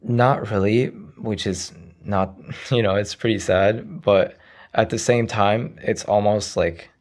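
A young man around twenty, raised in Iraq with Arabic as his first language, answering interview questions in a soft, hesitant way. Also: lowish voice, steady tone, slow. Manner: soft-spoken, hesitant, frequent "you know" fillers. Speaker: interview guest, young poet. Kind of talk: casual conversational interview.

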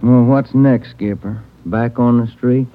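A man's voice: medium pitch, fairly even intonation, unhurried, close to an everyday voice. A man playing an easygoing police detective and loyal partner in a 1950s radio drama, casual and plain-spoken. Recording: muffled old radio recording with noise.

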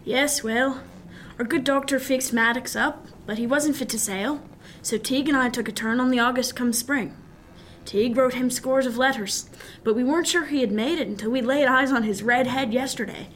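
A woman playing a composed, sensible seafarer in a period radio drama, speaking in a measured, matter-of-fact way. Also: a little high, a bit bright, a little hiss or hum, lightly acted. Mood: confiding.

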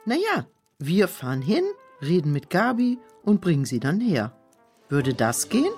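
A persistent, practical woman in a children's audio drama. She speaks slowly in a slightly low voice with lively intonation, sounding encouraging. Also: faint music behind. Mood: hopeful.

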